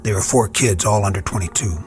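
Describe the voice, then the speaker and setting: An actor with an American accent playing a weary, troubled army officer, terse and brooding, in a radio war drama. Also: male voice, fairly low pitch, a little fast, soft background music, natural voice. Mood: grim, bitter.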